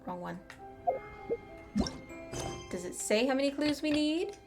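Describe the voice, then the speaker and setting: A woman's voice: high voice, lively intonation, measured pace. A woman streaming a video game, casually thinking aloud and reading out what is in the game. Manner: thinks aloud in short remarks. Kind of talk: casual live playthrough commentary, reading game text aloud. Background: game music.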